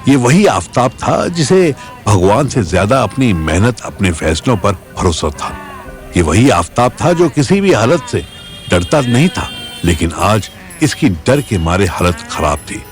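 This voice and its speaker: A man's voice: lowish voice, big pitch swings, measured pace, faint music behind. A man narrating a Hindi audio story in a dramatic scripted style.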